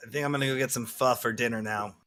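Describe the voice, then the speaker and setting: A man's voice: mid-range voice, steady tone, fast, crowd noise. A man hosting an interview, reading viewer questions out and rephrasing his own questions loosely. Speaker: interview host.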